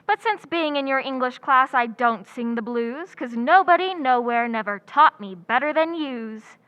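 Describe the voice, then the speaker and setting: High bright voice, woman acting as lovelorn English teacher, dry wisecracks, theatrical old-time radio comedy. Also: expressive, a little slow, dull audio. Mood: sardonic.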